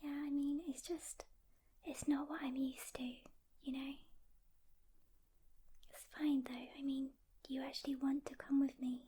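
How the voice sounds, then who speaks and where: A woman playing a reluctant loner demon hunter in an ASMR roleplay, soft-spoken and whispering, with a casual, halting delivery. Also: sits high, level tone. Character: seasoned female demon hunter, reluctant loner, guarded but caring.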